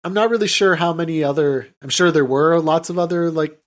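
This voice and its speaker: A man's voice: a little high, a little fast. A man co-hosting a podcast, recapping a film in loose, informal, sweary chat and offhandedly paraphrasing what characters say. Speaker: podcast co-host. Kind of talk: casual chat recapping and mocking an old film.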